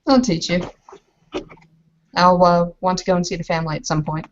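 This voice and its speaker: A woman's voice: fairly low pitch, steady tone, moderate pace, highs cut off, lightly acted. A woman playing a composed, frank Bavarian bride in a tabletop roleplay, hesitating as she searches for words. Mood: matter-of-fact.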